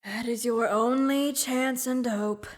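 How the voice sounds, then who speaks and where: An actor voicing the mournful ghost of an old businessman bound in chains, in a student radio drama, speaking solemnly and dropping into breathy whispers. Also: very high-pitched, fairly even intonation, slow pace.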